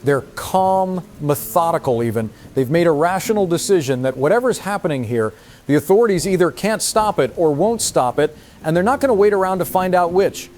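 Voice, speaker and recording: A man's voice: fairly high pitch, animated, brisk, darkish tone, slight background noise, lightly acted. A man playing an earnest field news reporter, giving a live report with emphatic, concerned storytelling. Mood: earnest, grave. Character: earnest male field reporter, shaken by events.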